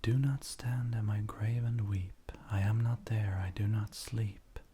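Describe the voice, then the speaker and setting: Slow, whispery soft-spoken man. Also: on the low side, fairly even intonation. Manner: gentle, unhurried, measured. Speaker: ASMR creator reading poetry. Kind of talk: soft-spoken ASMR poetry reading. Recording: faint background noise.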